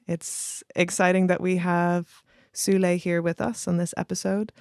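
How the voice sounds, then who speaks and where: A woman's voice: fairly low pitch, flat delivery, measured pace, creaky, darkish tone, no room sound, clean studio sound. A woman hosting a podcast in a conversational introductory style. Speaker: podcast host.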